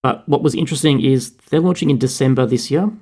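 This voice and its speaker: A man's voice: medium pitch, quick pace, darkish tone. A man with an Australian accent, co-hosting a podcast and explaining tech news in a casual, fluent way. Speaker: podcast co-host.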